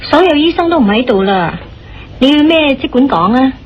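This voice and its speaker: A woman with a Hong Kong Cantonese accent playing a young, dutiful nurse in a radio drama, gentle and hesitant. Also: high-pitched, sing-song, normal speed, slight background noise. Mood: caring. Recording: dull lo-fi radio drama recording. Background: music.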